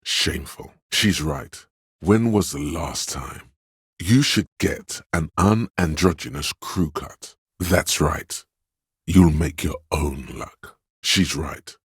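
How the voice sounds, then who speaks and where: An actor playing a stern, commanding inner voice of authority in a video game, speaking in a grave, imperious, theatrical way. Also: male voice, a bass voice, slow pace, husky, no echo, studio quality, heavily acted. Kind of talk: dramatic scripted game narration.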